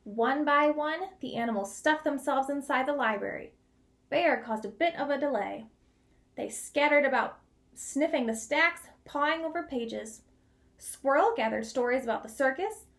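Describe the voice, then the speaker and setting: A woman reading a picture book aloud as a storyteller, expressive, with dramatic pauses and drawn-out key words. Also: high voice. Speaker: children's read-aloud storyteller.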